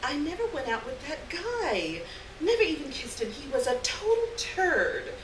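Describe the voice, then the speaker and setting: Popular private-school girl played by a female story reader, girlish drawl, pitched up, roomy distant stage recording. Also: sing-song, moderate pace, background noise. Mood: defensive, dismissive. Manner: drawling, exaggerated girlish talk. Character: popular teenage private-school girl, gossipy, casually haughty.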